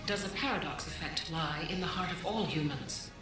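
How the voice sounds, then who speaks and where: A woman's voice: sits low, lightly acted. Woman voicing a clinical, heavily echoing research computer. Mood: detached, clinical. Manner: detached, formal, measured. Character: clinical female research computer reporting on test subjects. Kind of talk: scripted log narration. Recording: heavily echoing voice over music.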